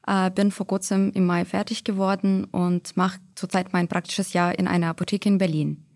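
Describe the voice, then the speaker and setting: A woman's voice: mid-range voice, little pitch movement, moderate pace, vocal fry, darkish tone, no echo. A woman in her twenties from Ukraine, speaking with a Ukrainian accent as a guest in a conversational interview. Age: 20s. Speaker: podcast guest, pharmacy trainee.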